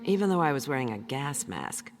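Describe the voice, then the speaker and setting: An extremely deep woman's voice, terse and dry. She is a tough, cool adventurer telling her own story in a radio play, with loud music underneath. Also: sing-song, fairly quick. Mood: cool, tense. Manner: terse, dry, hard-boiled. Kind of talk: audio drama first-person narration.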